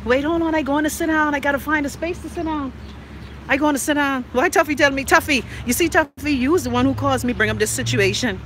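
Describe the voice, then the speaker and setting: Bright-voiced Bahamian woman vlogging. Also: high voice, fast talker, slight background noise. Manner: chatty, blunt and joking. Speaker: podcast host. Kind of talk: casual vlog chat while walking. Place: outdoors on a street.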